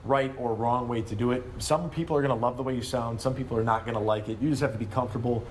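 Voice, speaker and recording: An American man answers interview questions conversationally. He is a sports announcer with a mid-range voice and a rapid pace. Some ambient noise sits behind him. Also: medium pitch, steady tone. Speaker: sports public address announcer, interview guest.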